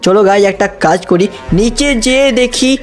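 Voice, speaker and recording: A man's voice: high-pitched, a little fast, soft background music. Guy narrating his gameplay like a story, exclamatory, keeps talking to the viewers. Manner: addresses viewers constantly, exclamatory. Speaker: gaming video host. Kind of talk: excited gameplay storytelling commentary.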